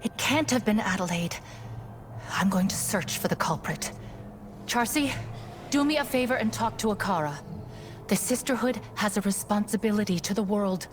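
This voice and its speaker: A woman playing a stern, vigilant warrior sister in a video game, speaking gravely and earnestly as someone troubled and suspicious. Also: medium pitch, moderate pace, close to an everyday voice. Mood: firm, urgent. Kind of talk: dramatic video game voice acting. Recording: music underneath the voice. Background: game music.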